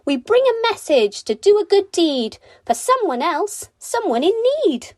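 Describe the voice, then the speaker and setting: Woman acting enchanted little birds, very high pitch, singsong chant, drawn-out phrases, children's storybook, lively swoops, close dry sound. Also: dry recording, full character voice. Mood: urging, sweet. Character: flock of enchanting singing birds chanting a gentle message.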